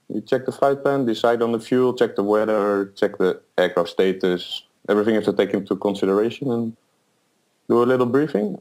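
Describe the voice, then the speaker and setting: Man, lowish voice. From Netherlands. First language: Dutch. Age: late 20s. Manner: relaxed, explains step by step with self-corrections. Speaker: airline pilot guest. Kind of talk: casual interview.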